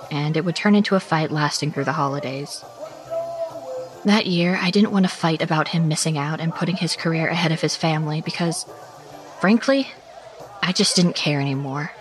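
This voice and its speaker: A woman performs as an anxious suburban storyteller with a fairly low voice. She narrates a scripted horror tale in a measured, dramatic way, with music clearly behind her. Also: natural voice. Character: anxious suburban woman recounting a frightening Christmas night. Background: background music.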